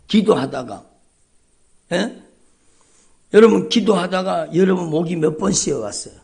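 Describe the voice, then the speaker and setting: A man's voice: high voice, gravelly, darkish tone. A male pastor preaching an emphatic, repetitive sermon at a dawn church service, calling out often to the congregation. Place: church sanctuary.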